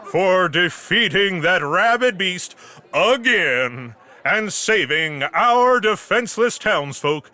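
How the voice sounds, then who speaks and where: Glib male town bigwig, hammy and self-important, high swooping voice, fantasy audio comedy. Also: background noise, heavily acted. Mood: ceremonial. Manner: hammy public-announcer pomp, glib.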